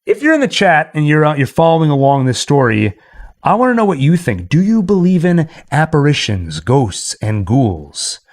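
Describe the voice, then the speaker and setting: Animated male livestream host, lively pitch. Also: moderate pace. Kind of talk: casual storytelling and chat to livestream viewers.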